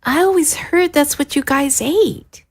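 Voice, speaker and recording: A woman's voice: sits high, a little slow, gravelly. An actress playing a timid, apologetic young female recruit, hesitant and kind, in an audio drama. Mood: shy curiosity. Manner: hesitant, meek.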